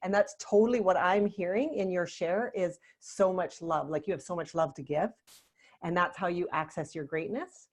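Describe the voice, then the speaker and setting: A woman's voice: fairly low pitch, moderate pace. A woman life coach hosting an interview, speaking in an affirming, enthusiastic way. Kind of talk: interview conversation.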